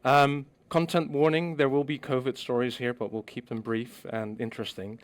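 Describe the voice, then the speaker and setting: A middle-aged man from the Netherlands giving a scientific conference presentation, measured and explanatory with frequent ums. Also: medium pitch, level tone.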